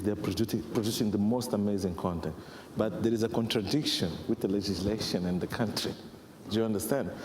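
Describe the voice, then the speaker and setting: Brief: young Mozambican man, lively panel speaker, reverberant hall, faint noise. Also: lowish voice. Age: young adult. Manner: animated, repeatedly asks if listeners follow. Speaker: panelist, festival founder and musician. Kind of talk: panel discussion. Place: conference hall.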